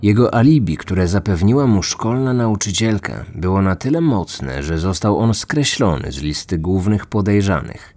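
A man delivers scripted true-crime narration as a podcast host. His voice is fairly low, with music playing underneath. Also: expressive, moderate pace, hardly any pauses, darkish tone.

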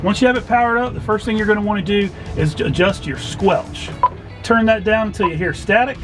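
A middle-aged man presenting an instructional explainer to camera, talking through things step by step. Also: sits high. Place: outdoors on a docked boat at a marina. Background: background music.

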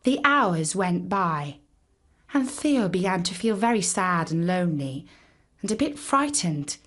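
A woman tells a scripted children's story. She reads slowly and expressively, with a very lively, swooping pitch.

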